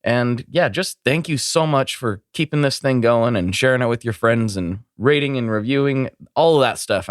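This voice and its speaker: A man's voice: mid-range voice, no echo, clean studio sound. Congested man hosting a podcast. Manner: rambling and apologetic. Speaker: podcast host. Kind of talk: casual podcast chat.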